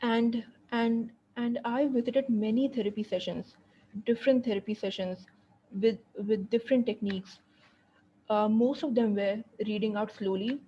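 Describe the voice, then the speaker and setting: A woman's voice: on the high side, fairly even intonation, normal speed, dark tone, muffled recording, slight background noise. A young woman telling her own story in a testimonial, hesitant, with many repeated words and fillers. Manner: hesitant, frequent repetitions and fillers. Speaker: guest sharing her story. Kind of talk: personal testimonial.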